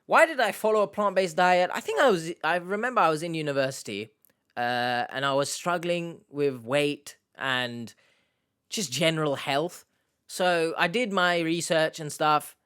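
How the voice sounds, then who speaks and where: A man's voice: on the high side, lively intonation, measured pace, a bit bright, clean tone. A young man, hosting a casual Q&A, rambling and joking as he answers viewer questions. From Turkish Cypriot heritage, based in the UK. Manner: jokey, rambling, self-mocking. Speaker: YouTube host.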